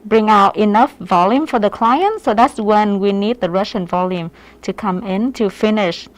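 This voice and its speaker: A woman with a Vietnamese accent explains her work as a lash artist in a casual way. Her voice sits at a medium pitch, and her phrasing is a little hesitant and non-native. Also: moderate pace. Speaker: lash artist giving a demonstration. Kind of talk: casual explanation on a live stream.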